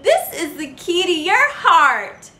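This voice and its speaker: A woman voicing a sweet, earnest seven-year-old granddaughter in a storybook, expressive and eager. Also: very high voice, takes it slowly, echoey room, pitched above the performer's own voice, exaggerated voice. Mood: tender, happy.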